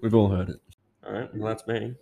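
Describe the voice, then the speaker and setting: A man's voice: on the low side, steady tone, fairly quick, creaky, heavy background noise. A man co-hosting a casual podcast, easygoing, chiming in with short replies. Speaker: podcast co-host. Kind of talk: casual chat.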